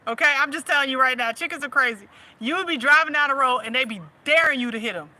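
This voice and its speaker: A woman's voice: high-pitched, fast talker, pushed and bright. A woman with a Southern US accent hosting a casual chat, laughing often and teasing her audience. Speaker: host.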